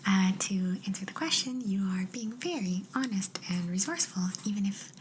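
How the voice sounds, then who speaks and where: A woman's voice: medium pitch, lively intonation, moderate pace, a little hiss or hum. A woman whispering breathily and playfully as a quirky job interviewer in an ASMR roleplay, close to the microphone. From Canada. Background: writing sounds.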